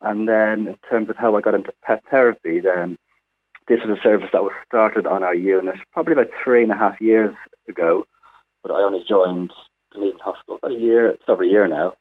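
Deep-voiced Irish man on a phone line. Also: quick pace. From Ireland. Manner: chatty, explanatory, many fillers. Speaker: hospital physiotherapist, guest. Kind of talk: radio phone interview.

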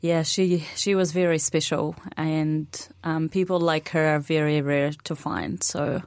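Palestinian woman, native arabic speaker, young adult, low voice, soft-spoken, many pauses, dry studio sound. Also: fairly even intonation, clean tone, dry recording. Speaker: interview guest. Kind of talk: radio interview conversation.

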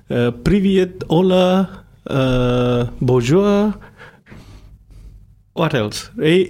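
Mellow-voiced man hosting live community radio. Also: on the high side. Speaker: radio host.

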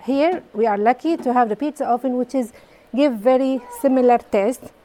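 A woman's voice: a little high, warm tone. Iraqi-born woman teaching baking. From Iraq. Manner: simple, halting English with fillers. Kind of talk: hands-on cooking demonstration. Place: community hall kitchen.

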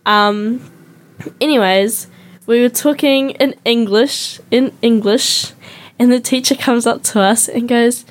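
A woman's voice: fairly high pitch, slow. A young woman with a New Zealand accent hosting a youth radio show, telling stories in a casual, chatty way full of ums and likes. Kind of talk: casual chat.

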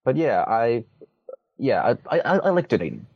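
A man's voice: mid-range voice, big pitch swings, moderate pace, gravelly, husky, no room sound, highs cut off. A young man from Hong Kong whose first language is Cantonese, answering interview questions casually, with many restarts, fillers and wry humor. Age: young adult. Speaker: interviewee, student.

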